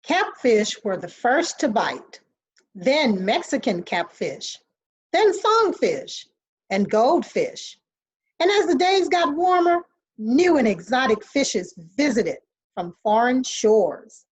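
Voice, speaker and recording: A woman's voice: a little high, big pitch swings, slow pace, slightly rough. A woman teacher reading a picture book aloud to children in an instructional way.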